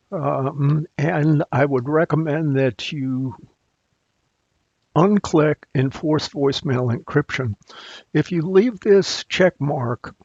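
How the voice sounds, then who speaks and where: A man with a gravelly, raspy voice walks through a software tutorial. He takes his time, thinking aloud and halting between phrases as a support presenter working off the cuff. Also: medium pitch, no room sound, muffled recording. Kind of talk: unscripted software screencast walkthrough.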